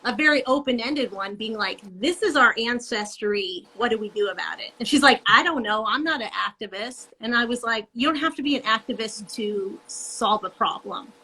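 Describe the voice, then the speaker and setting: A middle-aged woman with a bright, slightly high voice talks casually over a video call. She is animated and laughs easily, and her speech comes through a handheld mic. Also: normal speed, few pauses, husky, low-quality recording. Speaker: guest artist.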